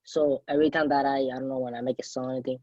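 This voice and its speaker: A man's voice: mid-range voice, level tone, very fast, warm tone, no room sound. Student panelist, man from Cuba, loose rambling delivery, dull dry audio. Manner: loose, rambling, many "you know" fillers. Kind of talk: casual panel answer.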